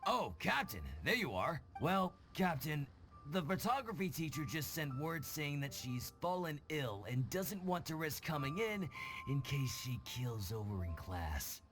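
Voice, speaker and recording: A dutiful male crew officer from a seafaring video game. He speaks briskly and respectfully, with a fairly high, animated voice that rises and falls widely. Light music sits behind him. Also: heavily acted. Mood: dutiful, apologetic. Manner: brisk, deferential to captain.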